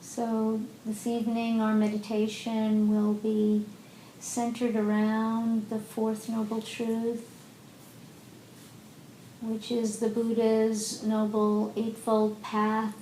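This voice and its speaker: A woman leading a guided meditation as a teacher, speaking gently and unhurriedly with long pauses in an indoor room. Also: monotone. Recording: roomy echo, faint rumbling background noise.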